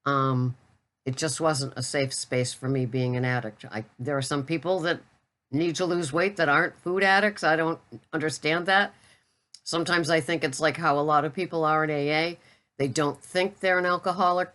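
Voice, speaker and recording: An older woman with a low voice talks straight to camera in a loose, rambling vlog chat. She pokes fun at herself as she goes. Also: fairly bright tone. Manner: chatty, rambling, self-deprecating. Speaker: keto vlogger. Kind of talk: casual talking-head chat.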